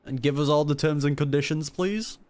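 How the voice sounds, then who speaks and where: A man's voice: a little high, brisk, a little mellow. A man giving casual, slangy reaction commentary as he plays through a video game. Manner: slangy, informal. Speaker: gaming YouTuber. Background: faint game music.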